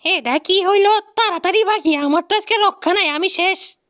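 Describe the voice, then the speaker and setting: A performer voicing a bossy, boastful, easily panicked cartoon mouse uncle in colloquial Bangladeshi speech, excitable and repetitive. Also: very high-pitched, moderate pace, dry recording, lo-fi sound. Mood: alarmed. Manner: excitable, repeats words when panicking. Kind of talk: comic cartoon dub.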